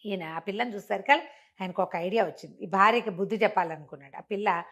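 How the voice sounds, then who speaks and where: Animated woman storyteller. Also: mid-range voice, fast talker. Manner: conversational, acts out dialogue, asks rhetorical questions. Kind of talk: animated oral telling of a moral folk tale.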